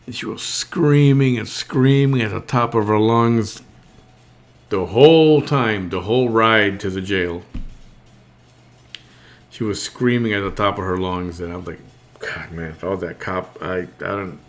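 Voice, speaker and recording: A man with a somewhat low voice hosts a relaxed live-stream show. He talks in a halting, unscripted way, restarting and repeating words as he works out what he thinks. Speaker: host. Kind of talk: casual live-stream chat.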